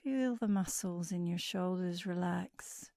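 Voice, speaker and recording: Slow, mellow woman guiding sleep meditation. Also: on the low side, dry recording. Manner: soothing, unhurried, with breathy phrase endings. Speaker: guided meditation instructor. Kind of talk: guided sleep meditation narration.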